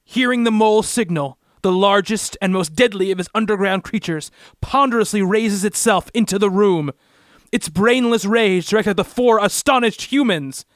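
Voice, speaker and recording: A man's voice: high voice, moderate pace, no echo, clean studio sound. A man narrating a comic-book radio play in a theatrical, bombastic style.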